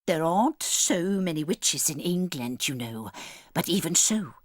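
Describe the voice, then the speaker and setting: A woman's voice: deep voice, very animated, a little slow, dry recording, exaggerated voice. An actress playing a protective old grandmother, a solemn and dramatic storyteller in a dramatized audiobook. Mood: grave, relishing.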